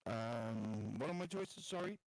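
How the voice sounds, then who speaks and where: A man's voice: mid-range voice, lively intonation, a little slow, no echo. A man chatting casually and hesitantly as a player in a tabletop game. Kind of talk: casual chat.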